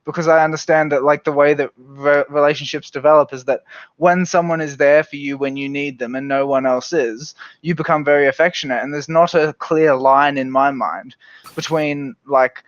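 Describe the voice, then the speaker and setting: A man's voice: fairly high pitch, steady tone, normal speed, no echo, muffled recording. A man in his twenties talking casually in a group voice chat, rambling and hedging with many fillers. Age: 20s. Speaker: podcast debate regular.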